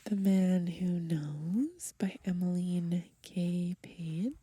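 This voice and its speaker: Breathy whispering young woman, slow and soft. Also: fairly low pitch, steady tone, dry recording. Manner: gentle, unhurried, softly murmured. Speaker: ASMR video host. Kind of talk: soft-spoken whispered ASMR. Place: outdoors on grass in light wind.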